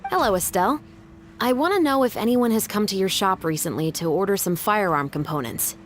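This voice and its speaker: An actress playing a composed, businesslike young guard captain in a video game, speaking in a measured, formal, matter-of-fact way. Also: female voice, medium pitch, animated, a little hiss or hum, close to an everyday voice. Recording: faint music behind.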